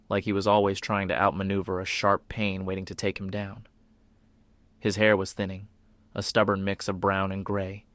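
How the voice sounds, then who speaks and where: A man narrating a scripted suspense story in a brooding, deliberate manner, with short dramatic phrases. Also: low voice, creaky, a bit bright, dry recording.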